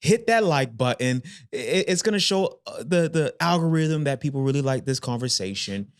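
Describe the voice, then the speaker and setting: A man's voice: mid-range voice, normal speed, smooth, no echo, clean studio sound. A man in his thirties co-hosting a casual faith-based relationship podcast, talking energetically and driving his points home with repetition. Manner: energetic, emphatic, repeats points. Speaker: podcast co-host.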